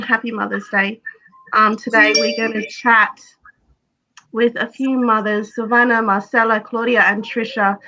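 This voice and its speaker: A woman's voice: fairly high pitch, pushed and bright, dull audio, slight background noise. A woman hosting a casual live chat over a video call, asking her guests questions. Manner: chatty, leads with questions. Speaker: host.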